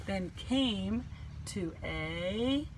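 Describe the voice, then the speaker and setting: A woman reading a children's storybook aloud in an animated, dramatic way with deliberate emphasis. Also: medium pitch, slight background noise, rough recording. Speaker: teacher reading a picture book.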